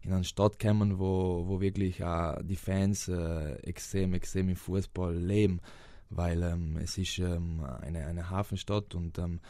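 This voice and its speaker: A man's voice: deep voice, level tone, a little slow, dark tone, no echo. A young man from South Tyrol with a South Tyrolean German accent, answering interview questions hesitantly with many fillers and restarts. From South Tyrol, Italy. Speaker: interviewed footballer.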